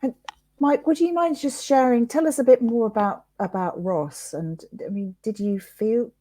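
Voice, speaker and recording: A woman interviewing in a gentle conversational style, tentative and sympathetic. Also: on the high side, normal speed, dry recording.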